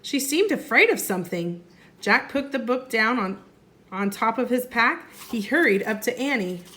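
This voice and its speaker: A woman, a first grade teacher, reading a storybook aloud expressively and deliberately for young children. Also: fairly high pitch, animated, sharp tone.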